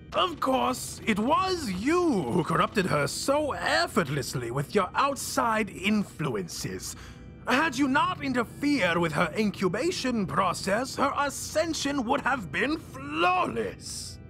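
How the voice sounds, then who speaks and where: High theatrical old evil genius for a game, super pompous, swoops everywhere, bit of music behind. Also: male voice, very animated, creaky, sharp tone, heavily acted. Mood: sinister musing. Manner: pompous, grandiose, mock-polite, drawn-out theatrical delivery. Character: flamboyant ancient male mastermind villain, gleefully theatrical. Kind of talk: theatrical video game villain acting.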